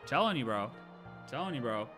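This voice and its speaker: A man's voice: mid-range voice, big pitch swings, brisk, pushed and bright, faint music behind. A man streaming his live reactions to a game story in casual, slangy, sweary and mocking commentary. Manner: slangy, sweary, mocking, acts out imagined quotes.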